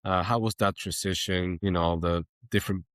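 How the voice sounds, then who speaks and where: A man's voice: sits low, steady tone, normal speed, a bit bright, no echo, clean studio sound. A man hosting a podcast interview, conversational and reflective in an informal way. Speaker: podcast host, military veteran.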